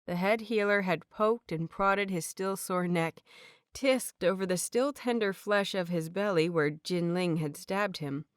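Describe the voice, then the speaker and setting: A woman reading fanfiction aloud as an audiobook, with expressive, playful inner-monologue delivery. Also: lowish voice, unhurried, clean tone, dry recording, studio quality. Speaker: audiobook reader.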